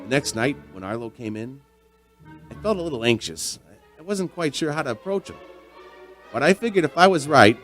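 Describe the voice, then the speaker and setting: A man plays a folksy barkeep with a sleuth's curiosity, friendly yet hesitant as he probes. His middle-pitched voice moves up and down in a lively way. It is a radio play with faint music behind. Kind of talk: radio drama acting and narration.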